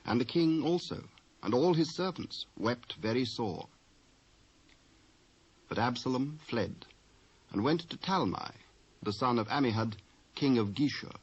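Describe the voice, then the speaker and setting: A man's voice: mid-range voice, husky. A British man giving a scripted dramatic narration of the Bible in formal archaic English. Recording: dull old cassette transfer.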